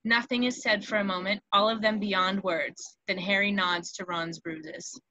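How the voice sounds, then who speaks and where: Bright-voiced woman narrator. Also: medium pitch, steady tone, unhurried, no echo, highs cut off. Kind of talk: scripted narration of stage directions.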